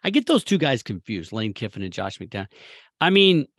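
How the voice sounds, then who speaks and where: An American man co-hosting a casual sports chat, speaking in opinionated, rambling and animated bursts. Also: mid-range voice, a little fast, breathy edge, no echo, studio quality. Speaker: sports talk co-host.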